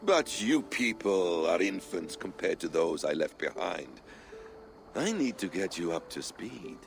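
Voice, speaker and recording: An actor playing a calm, calculating ancient man in a video game, courteous but superior, with measured formal speech. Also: low-pitched, creaky, soft background music, heavily acted. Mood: superior, assured. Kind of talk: dramatic video game acting.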